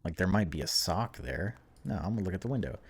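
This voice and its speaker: Low-voiced man reading through a game casually. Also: lively intonation, fast, gravelly, breathy edge. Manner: informal, reacts aloud between read passages. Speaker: gaming video host. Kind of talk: casual let's play, reading game text aloud with commentary.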